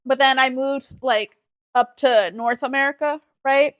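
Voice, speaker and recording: A woman's voice: sits high, fairly even intonation, a little slow. A young woman from Texas co-hosting a podcast, chatting in a laid-back way with lots of fillers. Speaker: podcast co-host. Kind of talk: casual chat.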